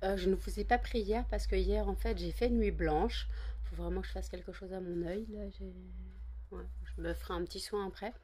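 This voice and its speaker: A woman's voice: medium pitch, fairly even intonation, moderate pace, mellow, breathy edge. A woman vlogger chatting casually to camera, rambling with many fillers and repeated words. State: just woken up, groggy.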